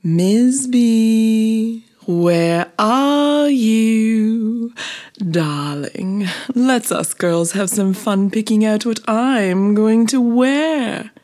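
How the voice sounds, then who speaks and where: A woman's voice: on the high side, expressive, extremely slow, mellow, pitched above the performer's own voice. A woman voicing a vain, glamorous female bee in a children's story, gushing and fussing dramatically. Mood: gushing, calling out. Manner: gushing, dramatic, self-admiring.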